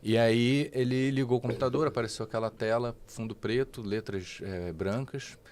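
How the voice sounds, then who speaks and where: A man's voice: mid-range voice, fairly even intonation, moderate pace, a little mellow. A man in his forties from Rio de Janeiro with a Carioca accent, a guest telling stories in a rambling way, with many restarts and tag questions. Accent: Carioca Portuguese. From Brazil, Rio de Janeiro. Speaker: guest, AI expert. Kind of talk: casual interview storytelling.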